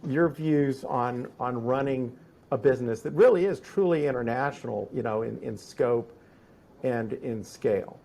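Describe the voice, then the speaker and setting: A man hosting a conversational podcast interview, phrasing things in a halting, roundabout way. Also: mid-range voice, vocal fry, warm tone.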